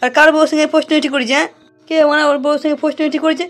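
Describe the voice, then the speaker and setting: A man's voice: very high voice, flat delivery, rapid-fire, a bit bright, soft background music. A man voicing a grumpy, cowardly young village man in a comic cartoon, with slangy, blustering, stammering speech. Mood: defiant. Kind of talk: amateur comic cartoon acting.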